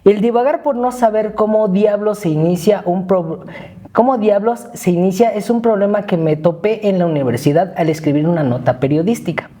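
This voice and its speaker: A man's voice: sits high, moderate pace, dark tone. A man in his thirties with a Mexican accent, co-hosting a podcast in a chatty, joking way and reading out his own essay. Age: 30s. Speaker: podcast co-host. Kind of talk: casual podcast chat.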